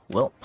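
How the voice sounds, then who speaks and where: A man making casual remarks as he streams a game playthrough. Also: warm tone.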